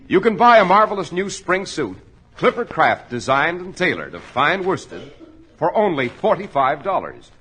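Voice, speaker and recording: A man's voice: on the high side, a bit bright, slightly rough. A man announcing and hosting in polished, emphatic 1940s radio style, reading scripted copy. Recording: old 1940s radio recording.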